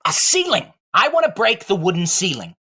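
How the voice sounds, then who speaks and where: Husky, wistful wooden dummy. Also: male voice, sits high, huge pitch swings, fairly quick, bright, forceful tone, exaggerated voice. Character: wistful, philosophical wooden male ventriloquist dummy. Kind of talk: comic audio play dialogue.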